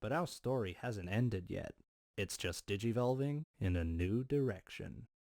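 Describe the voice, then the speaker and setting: A man acting a low-voiced, earnest grown-up hero. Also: lively intonation, unhurried, darkish tone, dry recording, studio quality. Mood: earnest, reflective. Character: grown-up boy hero narrating his adventure, earnest, reflective. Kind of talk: scripted anime parody narration.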